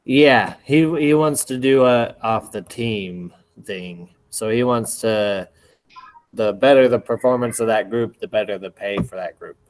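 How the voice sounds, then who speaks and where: Slow, hesitant man in class talk. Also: lowish voice, low-quality recording. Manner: hesitant, many fillers and restarts, clears throat. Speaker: student in a discussion group. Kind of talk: casual class discussion.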